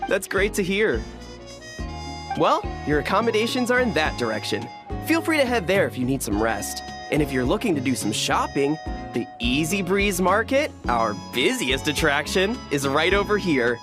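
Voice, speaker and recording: Game resort receptionist, fairly high voice, very lively intonation, polite, helpful, formal. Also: male voice, moderate pace, over music. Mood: obliging. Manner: formal customer-service patter, courteous. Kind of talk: scripted video game dialogue.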